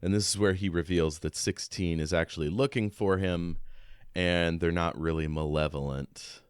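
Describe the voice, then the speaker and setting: Very deep-voiced man, halting and casual. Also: steady tone, smooth, no echo, clean studio sound. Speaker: podcast co-host. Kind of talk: casual book discussion with read-aloud excerpts.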